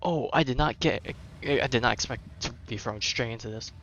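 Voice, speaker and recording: A man's voice: mid-range voice, brisk, a bit bright, highs cut off, a little hiss or hum. Casual young man streaming a game. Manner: slangy, swearing, reactive. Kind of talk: casual live game commentary.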